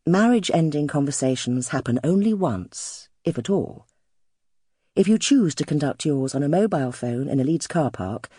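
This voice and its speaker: Expressive, mellow woman narrating an audiobook. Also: sits low, slightly rough, no room sound, clean studio sound. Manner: wry, expressive storytelling. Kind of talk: first-person literary fiction narration.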